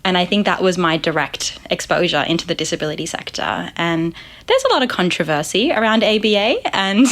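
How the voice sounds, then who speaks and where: A woman's voice: fairly low pitch, fairly bright tone. A young Australian woman, a psychologist, talking reflectively in a casual interview with frequent ums. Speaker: psychologist guest.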